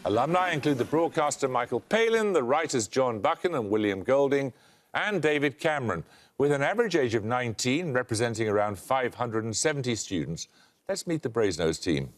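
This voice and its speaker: Dry, animated male quiz host. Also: medium pitch, brisk. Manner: dry, wry delivery with lively intonation. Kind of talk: scripted quiz presenting. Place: television studio.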